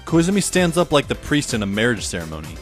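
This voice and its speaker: A man playing a wry high school boy who narrates an audio drama in the first person, musing as he goes. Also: very animated, fast talker, soft background music, lightly acted.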